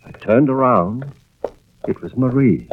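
An actor playing a troubled, anxious insurance investigator with a hesitant, brooding delivery in a 1940s radio drama. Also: male voice, medium pitch, measured pace, slight background noise, close to an everyday voice. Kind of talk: 1940s radio drama acting, first-person narration. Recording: dull vintage radio recording with music behind.

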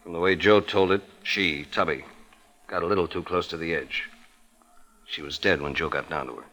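An actor playing a laconic, wry police detective with clipped, understated delivery, in old radio drama style. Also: male voice, low voice, normal speed, sharp tone. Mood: grave. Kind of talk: 1940s-50s radio drama acting.

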